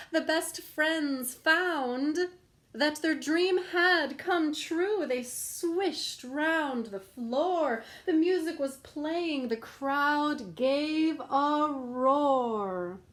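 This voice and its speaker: A woman reading a rhyming children's picture book aloud in a playful, sing-song storytelling manner. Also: high voice.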